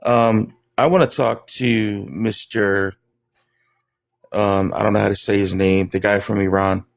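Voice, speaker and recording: Man, gravelly, low, hesitant questioning, lots of ums. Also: steady tone, unhurried. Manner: hesitant, many fillers. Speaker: debate panelist. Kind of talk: online debate questioning.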